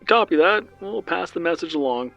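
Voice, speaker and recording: A man voicing a brisk male radio controller. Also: on the high side, vocal fry, soft background music, pitched above the performer's own voice, poor audio. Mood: businesslike. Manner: steady, businesslike radio talk.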